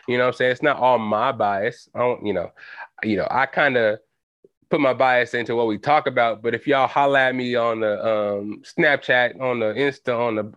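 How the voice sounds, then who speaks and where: A man's voice: medium pitch, a bit bright, dry recording. Slangy young man rambling on a podcast. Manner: loose, slangy, rambling with many fillers. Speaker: podcast host. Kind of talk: solo casual podcast chat. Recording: dull lo-fi home recording.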